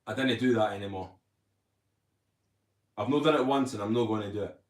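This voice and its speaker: A man talks loosely on a livestream, rambling from one thought to the next and reading out viewers' comments as he goes. His voice sits a touch low for a man. The sound carries a noticeable room echo. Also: brisk. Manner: chatty, rambling, reads viewer comments aloud. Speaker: livestream host. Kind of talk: casual livestream chat. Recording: roomy echo.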